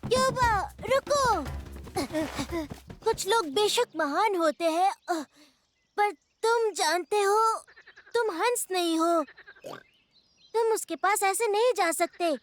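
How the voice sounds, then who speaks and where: A woman's voice: very high-pitched, slow pace, long pauses. A woman voicing a bossy but well-meaning young boy animal cub in a children's cartoon, blunt and childlike. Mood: blunt, warning.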